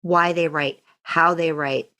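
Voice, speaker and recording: A woman's voice: low-pitched, steady tone, takes it slowly, bright, forceful tone. A woman co-hosting a podcast, talking in a casual, conversational style. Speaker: podcast co-host. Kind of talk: casual chat.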